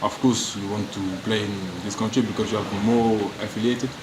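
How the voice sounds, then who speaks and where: Halting low-voiced man, noisy room. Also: little pitch movement. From France. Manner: halting non-native English, frequent restarts. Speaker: professional footballer. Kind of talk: press interview answers. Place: media room.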